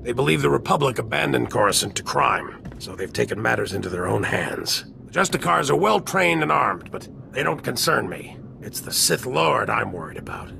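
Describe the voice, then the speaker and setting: An actor playing a grave, commanding Republic general in a video game, speaking with stern authority. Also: male voice, mid-range voice, big pitch swings, normal speed, gravelly, background noise. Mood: stern, urgent. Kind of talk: video game cutscene acting.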